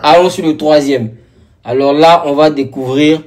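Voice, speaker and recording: A man hosting a music reaction show with casual, excited commentary, repeating words and phrases for emphasis. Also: fairly high pitch, moderate pace, slight background noise. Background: rap music playing faintly.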